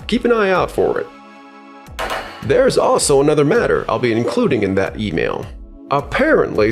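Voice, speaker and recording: A man's voice: on the high side, gravelly, full character voice. A man playing a stern, accusing client in a manga dub, complaining in a formal manner. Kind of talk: expressive manga dub voice acting.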